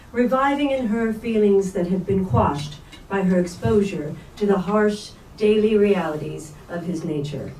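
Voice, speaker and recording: Woman, mellow mid-pitched voice, literary reading, distant mic, faint noise. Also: roomy sound. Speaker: reader at a staged book reading. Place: bookstore event space.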